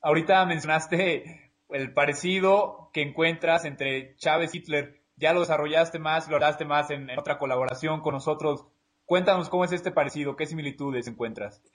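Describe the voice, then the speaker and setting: Male interviewer, moderately high voice, conversational delivery of questions and short responses to a guest. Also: moderate pace.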